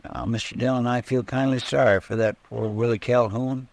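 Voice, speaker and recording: An actor playing stubborn, folksy frontier men in a 1950s radio western, with an American rustic drawl and plain country talk. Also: male voice, medium pitch, steady tone, normal speed, vocal fry, no room sound, close to an everyday voice. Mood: earnest.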